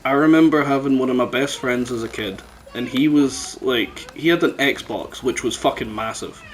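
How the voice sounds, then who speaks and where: A man's voice: mid-range voice, normal speed. A man hosts a casual podcast chat in a middling register. He tells jokey anecdotes full of swearing. Faint noise sits behind his headset mic. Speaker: podcast host. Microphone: headset microphone.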